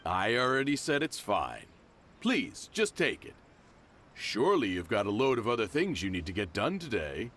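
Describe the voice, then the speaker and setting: Jovial kindly shopkeeper. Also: male voice, on the low side, very animated, normal speed, fairly bright tone, slight background noise. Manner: jovial, generous, chuckling. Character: kindly middle-aged male shopkeeper and father. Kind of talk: English game dub. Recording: game audio with music behind.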